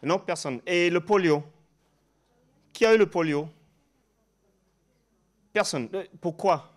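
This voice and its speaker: A man who is not a French speaker, giving a conference presentation as a professor, halting in his phrasing and putting questions to the audience. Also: high-pitched. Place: conference room before an audience.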